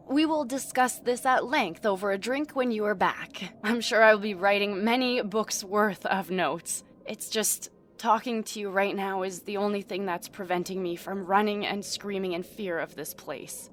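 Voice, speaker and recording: A woman's voice: mid-range voice, a little slow, a bit bright, a little hiss or hum, natural voice. An actress playing an anxious, earnest young female spellcaster in a fantasy audio drama. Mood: apologetic, earnest.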